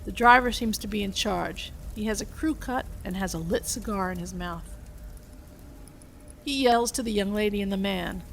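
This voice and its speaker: A woman's voice: medium pitch, normal speed, creaky. Woman narrating a story, faint noise. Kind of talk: scripted narration of a story script.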